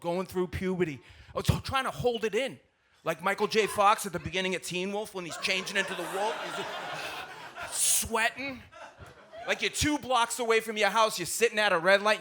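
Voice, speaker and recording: Male stand-up, thick-of-Boston storyteller: bright, punchy, somewhat high voice, colloquial and exclamatory, live stage recording. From South Boston, United States. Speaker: stand-up comedian. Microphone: stage microphone at a live show. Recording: live stage recording with audible background noise.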